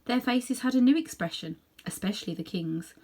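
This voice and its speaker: A woman reading a children's book aloud in an animated, dramatic way, sometimes softening toward hushed tones. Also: on the high side, fairly quick. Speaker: storybook reader. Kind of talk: expressive read-aloud narration for children.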